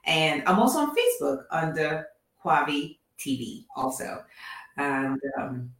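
Animated woman guest in echoey distant sound. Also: a little slow. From Nigeria. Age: 40s. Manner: animated storytelling with lively pitch. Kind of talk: casual interview chat.

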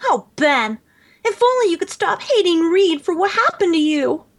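A woman as very high-pitched anxious heroine. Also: big pitch swings, moderate pace, heavily acted. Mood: pleading. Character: young woman superhero, anxious and caring. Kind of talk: amateur comic-book radio play.

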